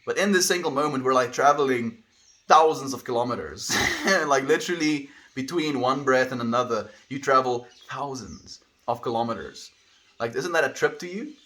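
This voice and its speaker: A man's voice: medium pitch, normal speed, fairly bright tone. A man with a South African accent, a live streamer chatting casually with his viewers and rambling through musings while calling them dude and friend. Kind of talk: casual livestream chat reading viewer comments.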